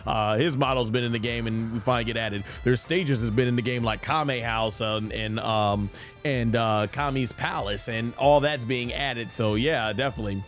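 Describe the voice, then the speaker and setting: A man's voice: medium pitch, normal speed. Casual male game streamer, rambling. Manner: rambling, thinking aloud. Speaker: streamer, host. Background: faint game music.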